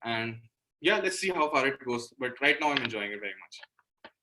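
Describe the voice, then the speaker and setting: A man's voice: mid-range voice, animated, moderate pace, noisy background. A man from Delhi with an Indian accent, talking casually as an interview guest, earnest and repeating words for emphasis. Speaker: interview guest, musician and guitar teacher.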